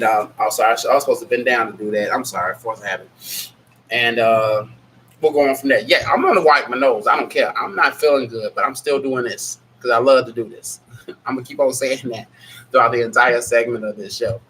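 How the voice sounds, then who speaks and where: A man's voice: mid-range voice, a bit bright, slight background noise, low-quality recording. Stuffy-nosed man chatting on a livestream. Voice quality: nasal. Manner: rambling, candid and blunt, reads out chat names. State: sick with a stuffy nose and scratchy throat. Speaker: livestream host. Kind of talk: casual live Q&A chat.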